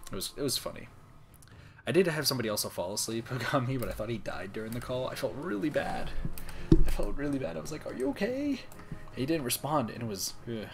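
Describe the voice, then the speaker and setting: A young man streaming a game late at night, talking softly and calmly, almost whispering so as not to wake anyone. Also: mid-range voice, fast talker, gravelly, noisy background. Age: young adult. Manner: soft, calm, near-whispering. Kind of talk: casual gaming stream chat. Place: room at home, late at night.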